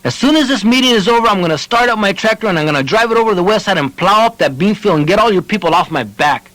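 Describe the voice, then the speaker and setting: A man's voice: high voice, animated, fast talker, fairly bright tone, no echo, voice pushed up, rough recording. A man voicing a stubborn, hot-tempered farmer in an audiobook, blunt, spluttering and defiant. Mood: exasperated.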